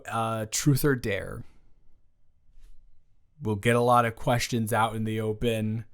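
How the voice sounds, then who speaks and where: Awkward, teasing ex-boyfriend played by a man, slow delivery, medium pitch, stammering, for intimate audio roleplay. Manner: halting, stammering, with long pauses. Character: awkward, earnest, teasing young ex-boyfriend trying to reconcile. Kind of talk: improvised romantic audio roleplay, addressed to the listener.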